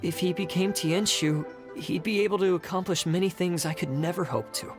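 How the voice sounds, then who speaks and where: An actor as a level-headed young apprentice in a video game, mid-pitched. The delivery is earnest, modest and reserved. Music is clearly audible underneath. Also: normal speed, natural voice. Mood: downcast. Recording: loud music behind the voice.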